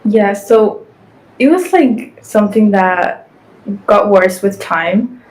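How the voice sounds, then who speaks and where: A woman's voice: mid-range voice, lively intonation, takes it slowly, a little mellow, poor audio. Podcast guest: young woman, 18, from Guatemala, easygoing and chatty, lots of "like". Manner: chatty, frequent "like" fillers. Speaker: guest, fashion influencer and model. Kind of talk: casual podcast interview.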